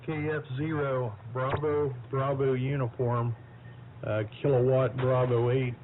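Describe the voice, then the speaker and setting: A middle-aged or older man talks slowly and haltingly in a casual ham radio chat. His folksy, rambling delivery comes through a narrow, phone-like shortwave transmission with faint static behind it. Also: mid-range voice, flat delivery. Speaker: amateur radio operator.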